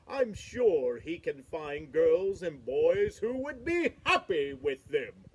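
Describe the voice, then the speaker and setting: Lady reading as a solemn toy king, low slow voice, gentle but firm. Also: sing-song, breathy edge, exaggerated voice, rough recording. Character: solemn male king of lost toys.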